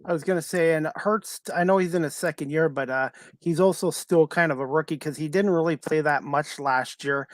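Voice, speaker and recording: A man hosting a sports talk show, asking questions casually in a halting, hesitant way. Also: a little high, no echo.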